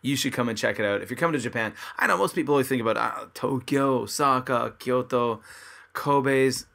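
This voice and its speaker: A man hosting a podcast with a bright, forceful tone and an upbeat, chatty manner. He keeps calling out to his listeners and pitches his show with plain enthusiasm. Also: brisk, vocal fry. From Canada. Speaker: podcast host. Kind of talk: casual podcast intro and interview. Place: his home in Kobe, Japan.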